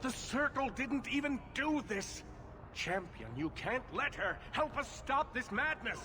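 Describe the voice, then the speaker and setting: An actor playing a proud, indignant leader of mages in a fantasy game, with a formal, theatrical delivery. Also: male voice, very high voice, animated, measured pace, noisy background. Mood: outraged, desperate. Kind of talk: dramatic fantasy game acting.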